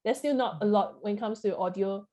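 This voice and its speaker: A woman's voice: medium pitch, fairly quick, mellow. A woman hosting a workshop in a casual, chatty way, with informal, wandering phrasing full of "you know" and "like". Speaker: host, moderator.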